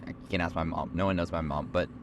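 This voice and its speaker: Young male co-host, low voice, hoarse and tired, loose rambling chat, car road noise underneath. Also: steady tone, fast. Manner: rambling, self-correcting, chatty. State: hoarse, short on sleep. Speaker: podcast co-host. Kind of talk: casual chat on a road trip. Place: moving car.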